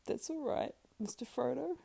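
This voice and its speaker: A woman narrator plays a faithful hobbit servant from a fantasy storybook, earnest and practical. He speaks in humble, rustic turns of phrase, with a mellow, creaky grain to the voice. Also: high-pitched, moderate pace, pitched above the performer's own voice, full character voice. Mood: reassuring, practical. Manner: deferential, homely rustic phrasing.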